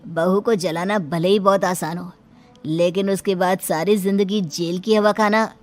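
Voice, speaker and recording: A woman's voice: on the low side, fairly even intonation, measured pace, faint music behind, close to an everyday voice. A woman voicing a stern male doctor in a cartoon story, grave and warning.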